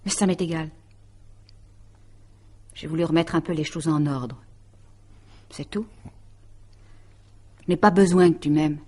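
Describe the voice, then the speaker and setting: An actress playing a calculating, possessive middle-aged woman in a radio mystery drama, speaking in a taunting, deliberate, self-satisfied way. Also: low voice, darkish tone. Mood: cool, possessive.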